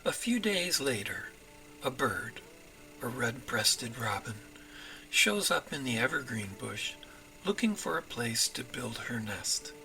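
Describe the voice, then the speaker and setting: A man reading his children's story as narrator, in an expressive storytelling manner. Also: mid-range voice, slow pace, creaky, soft background music, poor audio. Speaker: author reading own storybook.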